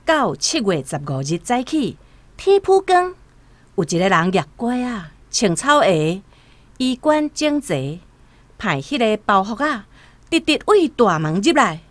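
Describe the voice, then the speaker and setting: A Taiwanese woman narrating a literary radio drama in a storytelling style, over soft music. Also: medium pitch, very animated, measured pace, dry recording.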